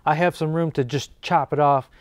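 Workshop host, forties, man; slightly high voice, laid-back, thinks out loud. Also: normal speed, a little hiss or hum. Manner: relaxed, thinking aloud while working. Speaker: woodworking channel host. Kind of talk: casual workshop how-to narration. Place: indoor home workshop.

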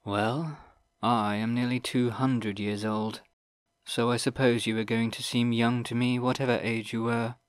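A man reading an audiobook, voicing an ancient old wizard who speaks in a slow, deliberate and formal way. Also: medium pitch, level tone, clean tone, no echo, clean studio sound, natural voice. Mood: measured.

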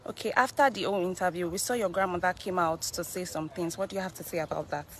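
Female reporter, prompting, lower voice, faint noise behind. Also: fairly bright tone. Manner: brisk, prompting, many okays. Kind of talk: live news interview and walkthrough. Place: outdoor compound, then indoor rooms.

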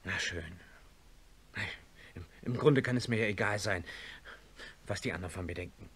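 A husky, agitated, imperious millionaire. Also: male voice, on the low side, moderate pace, fairly bright tone. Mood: defiant, dismissive. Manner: stammering when shaken, imperious. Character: stubborn, imperious millionaire man, agitated and disbelieving. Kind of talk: German radio play acting.

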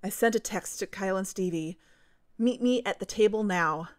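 A woman's voice: medium pitch, normal speed, background noise, natural voice. A woman playing an understated, pensive investigator narrating in first person in a fiction podcast.

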